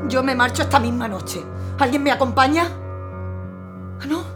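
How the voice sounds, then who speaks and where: A woman's voice: high voice, moderate pace, music in the background, lightly acted. An actress playing an emotional woman caught in war, in an audio drama. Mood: defiant.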